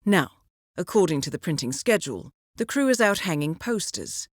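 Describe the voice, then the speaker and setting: Cool british lady spy handler for a video game, slightly low voice, expressive melody, measured and wry. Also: no room sound, clean studio sound. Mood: briefing, composed. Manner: measured, polished, understated. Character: cool, composed, dryly witty female spy handler. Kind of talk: scripted video game voice acting, mission briefings.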